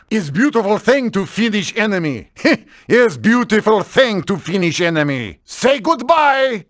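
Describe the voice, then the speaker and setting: A gloating Russian brawler. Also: male voice, high voice, a bit bright, no echo, studio quality, exaggerated voice. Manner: broken English, gloating battle barks. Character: boastful male Russian-accented brawler. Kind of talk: game voice lines.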